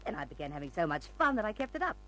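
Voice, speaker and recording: A woman's voice: lowish voice, sing-song, very fast, a bit bright, a little hiss or hum. Brash, theatrical eccentric woman, swooping voice. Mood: amused, confiding. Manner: slangy, bold, theatrical. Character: brash eccentric older woman, street character secretly shrewd and refined. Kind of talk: old-time radio drama acting. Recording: old radio recording with music.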